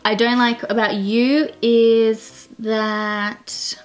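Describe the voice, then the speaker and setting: A woman's voice: fairly high pitch, little pitch movement, soft background music. A woman in her twenties with an Australian accent, chatting frankly and laughing while answering viewer questions beside her partner. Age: 20s. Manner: frank, laughing, quick and joking. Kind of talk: casual chat. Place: small indoor room in front of a plain wall.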